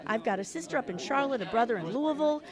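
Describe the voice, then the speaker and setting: Female, actress as wry lonely office worker, higher voice, big melodic swings, chatty stage delivery, live audience and music. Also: animated, quick pace, crowd noise, lightly acted. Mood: wry, animated. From Atlanta, southern US. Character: friendly, lonely Atlanta office woman, wryly humorous. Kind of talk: live stage audio drama before an audience.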